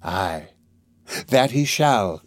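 A man's voice: on the low side, expressive, extremely slow, dry recording. Man acting a wily old criminal master, swooping voice. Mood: gleeful. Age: older. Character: wily old male criminal gang master, wheedling, quick-tempered.

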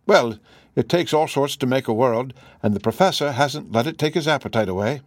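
Guy doing a gossipy housekeeper lady for a storybook, folksy, respectful, pitch jumps up a bit, dry sound. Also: lively intonation, fairly quick, dry recording, close to an everyday voice. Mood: chatty, surprised. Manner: chatty, deferential, folksy. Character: chatty, deferential working-class female housekeeper, plain-spoken. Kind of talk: audiobook character voice.

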